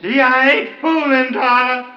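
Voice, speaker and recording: Heavy noise, muffled vintage radio, menacing villain, very high voice, taunting. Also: female voice, fairly even intonation, measured pace. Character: menacing, taunting armed villain calling out threats. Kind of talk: 1950s radio crime drama acting. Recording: very noisy, muffled old radio recording.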